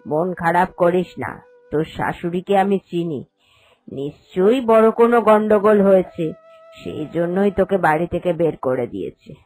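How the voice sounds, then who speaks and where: A woman's voice: on the low side, expressive, moderate pace, darkish tone. A woman voicing a protective parent who soothes and reassures a grown daughter in a cartoon story. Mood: consoling. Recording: voice over background music.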